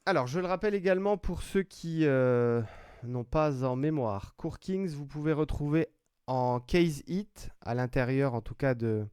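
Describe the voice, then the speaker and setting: Male card-break host, slow and chatty, dry close sound, lists names as he opens packs. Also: medium pitch, mellow, clean tone, dry recording. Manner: chatty, announces player names one by one, fillers and restarts. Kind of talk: live commentary while opening card packs.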